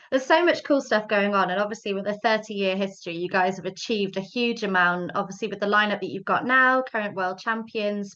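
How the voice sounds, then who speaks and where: A woman's voice: mid-range voice, a bit bright. A woman from the UK hosting a webinar over a video call, chatty and friendly as she introduces and questions her guest. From United Kingdom. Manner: chatty, friendly, laughs easily. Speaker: webinar host. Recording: slightly dull video-call audio.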